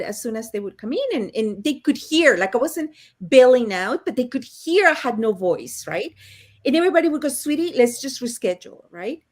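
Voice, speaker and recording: A woman hosting a casual panel chat on a video call, telling stories with frequent laughs. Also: a little high. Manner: chatty, laughing storyteller. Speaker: host.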